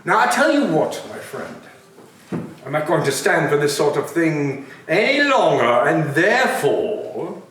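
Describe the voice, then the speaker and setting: A man's voice: a little high, sing-song, a little slow, fairly bright tone. A man playing a reformed old miser, gleeful, mock-stern and generous, in an amateur stage reading with theatrical exclamations. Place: live amateur reading in a reverberant room. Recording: echoey room from far off, noisy.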